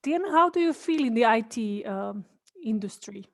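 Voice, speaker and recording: A woman's voice: fairly high pitch, expressive, moderate pace, creaky. A woman hosting a casual interview, encouraging her guest with short affirmations. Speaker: interview host.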